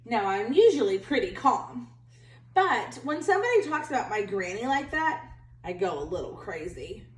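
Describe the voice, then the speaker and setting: A woman reading a children's story aloud as a chatty, self-justifying wolf, with expressive, exaggerated emphasis. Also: a little high, normal speed, voice pushed down. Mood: indignant. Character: chatty, self-justifying male wolf telling his side. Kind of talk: animated children's read-aloud narration. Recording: roomy, distant home recording.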